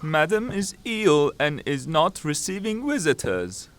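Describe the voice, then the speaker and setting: A household servant, played by a man, speaks in a fairly high, very animated voice in a theatrical audio drama. He is turning away an unwelcome caller, over clear background noise. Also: measured pace. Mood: curt, protesting.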